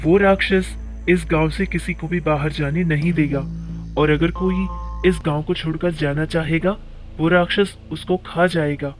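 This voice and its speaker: A man's voice: on the high side, fairly even intonation, a little slow, music in the background, lightly acted, rough recording. A man as an earnest village headman. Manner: earnest, urging caution. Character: worried middle-aged male village headman.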